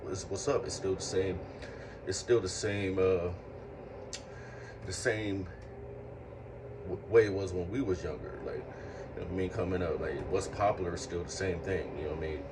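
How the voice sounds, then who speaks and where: A man in his late thirties with a very low voice talks earnestly in a reflective monologue, often repeating himself as he works through a thought. He sounds set back from the microphone, and a steady hum of air conditioning and passing vehicles sits clearly behind him. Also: recorded from a distance. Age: 30s. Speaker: speaker sharing life lessons.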